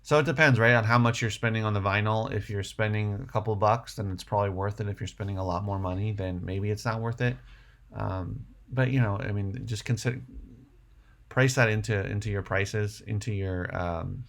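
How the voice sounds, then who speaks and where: Guy on a livestream, lowish creaky voice, casual rambly chat, thinking out loud. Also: fairly even intonation, moderate pace. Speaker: livestream host. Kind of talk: casual livestream chat answering viewer questions.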